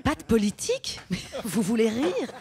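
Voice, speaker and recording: An actress playing an earnest, indignant single mother in a radio drama, speaking haltingly but insistently. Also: on the high side, very animated, a little mellow. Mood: impassioned. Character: earnest, indignant single mother defending her unpaid work. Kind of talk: radio drama, naturalistic speech.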